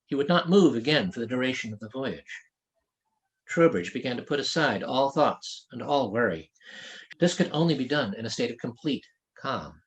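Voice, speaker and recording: A man reading his own fantasy novel aloud as an author, in expressive scripted narration. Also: mid-range voice, normal speed, low-quality recording.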